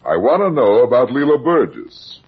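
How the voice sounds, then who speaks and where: An actor playing a brusque, sardonic, wisecracking private detective in a vintage radio drama. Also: male voice, mid-range voice, very animated, moderate pace, slightly rough. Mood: impatient. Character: hard-boiled, wisecracking male private detective. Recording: muffled vintage radio recording.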